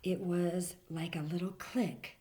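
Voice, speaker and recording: A woman reading a children's picture book aloud in a gentle storytelling manner, sometimes dropping to a whisper. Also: lowish voice, fairly even intonation, takes it slowly, slight background noise. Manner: gentle storytelling, drops to whispers for the quiet parts.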